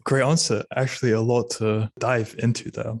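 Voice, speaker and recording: A man's voice: medium pitch, flat delivery, normal speed, darkish tone, dry recording, clean studio sound. A man interviewing a guest with brief, level questions.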